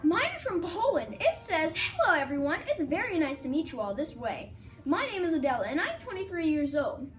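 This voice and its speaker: A woman's voice: very high-pitched, big pitch swings, normal speed, recorded from a distance, soft background music. A young girl co-hosting a school news broadcast, reading in a sing-song way. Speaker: student co-anchor.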